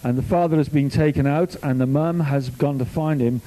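Chatty youth minister preaching. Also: male voice, medium pitch, steady tone, moderate pace, dark tone, rough recording. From Wales. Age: older. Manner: chatty, jokey storytelling. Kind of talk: informal sermon.

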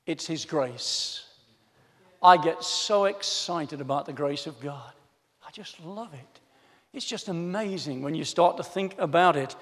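A man preaching a sermon, reading scripture slowly and then chatting eagerly to the congregation. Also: fairly high pitch. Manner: animated, enthusiastic, chatty asides to the congregation. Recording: hall reverb.

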